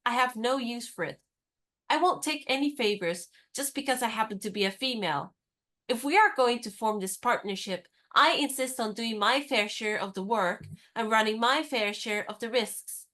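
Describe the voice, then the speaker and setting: Actress as a headstrong, teasing young woman; crisp delivery, fairly high voice. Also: lively intonation, normal speed, pushed and bright. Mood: determined. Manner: crisp, teasing and firmly insistent. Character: spirited, independent young woman, teasing and stubborn. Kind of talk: radio play acting.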